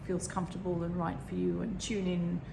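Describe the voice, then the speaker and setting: A woman with a slightly croaky voice, gently talking through a meditation and often pausing to find her words. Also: fairly low pitch, completely flat delivery. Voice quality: croaky, frog in throat. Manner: gentle, rambling, searching for words. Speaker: meditation teacher. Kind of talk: guided meditation talk. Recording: echoey room with background noise.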